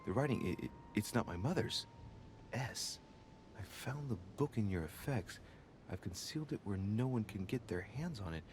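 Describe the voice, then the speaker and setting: An actor playing a brooding young man in a video game, investigating clues and deliberately musing aloud to himself. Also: animated, lightly acted. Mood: grave, pondering. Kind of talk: dramatic video game acting, reading aloud. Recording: low rumbling background noise.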